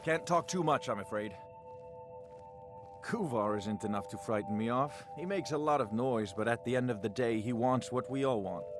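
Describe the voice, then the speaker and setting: An actor playing an unruffled, friendly mead brewer in a video game, easygoing in manner. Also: male voice, medium pitch, moderate pace, natural voice. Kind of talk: video game character dialogue. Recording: music behind the voice.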